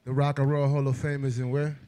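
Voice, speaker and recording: A man from Ohio, a guest artist, chatting casually in a laid-back, measured way with many "you knows". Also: mid-range voice, level tone, crowd noise. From Ohio, born in Puerto Rico. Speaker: hip hop artist guest. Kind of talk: casual interview chat.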